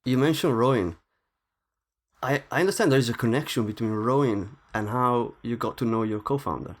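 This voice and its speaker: A man's voice: mid-range voice, normal speed. A man with a mid-range voice hosts a podcast. He delivers a scripted introduction and then asks his guest questions, sometimes repeating words as he starts a sentence. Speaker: podcast host.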